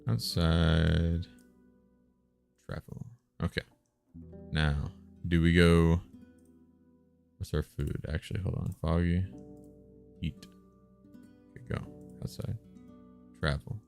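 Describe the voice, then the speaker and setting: Very deep, gravelly man streaming a game. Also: flat delivery, takes it slowly, pauses often, darkish tone, faint music behind. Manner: musing, muttered short phrases, thinking aloud. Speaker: live streamer playing and reading a text game. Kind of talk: casual chat mixed with reading game text aloud.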